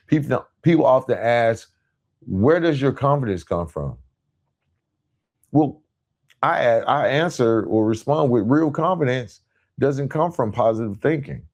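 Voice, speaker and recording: Low, mellow-voiced man giving a motivational talk. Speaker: podcast host. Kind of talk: motivational monologue.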